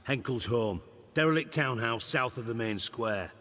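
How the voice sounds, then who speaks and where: A man's voice: medium pitch, expressive, moderate pace, bright, forceful tone, long reverb tail. An actor voicing a sardonic, bossy, middle-aged male spymaster in a video game, sarcastic, imperious and crude.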